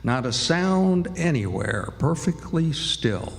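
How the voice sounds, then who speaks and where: A man playing a folksy storyteller narrating a radio drama in a gravelly, lyrical way. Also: on the high side, sing-song, takes it slowly, exaggerated voice. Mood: calm, lyrical. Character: folksy, unhurried storyteller of river life. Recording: noisy echoey hall, live stage.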